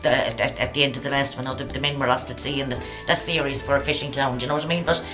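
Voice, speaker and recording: A woman's voice: extremely low pitch, fairly even intonation, very fast. An Irish woman chatting casually in an interview. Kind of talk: casual chat. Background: music.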